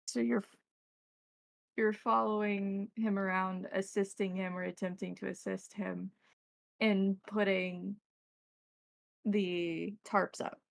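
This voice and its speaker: A woman narrating a tabletop roleplaying game as game master, setting scenes in a descriptive, measured way. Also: medium pitch, flat delivery, gravelly, no echo.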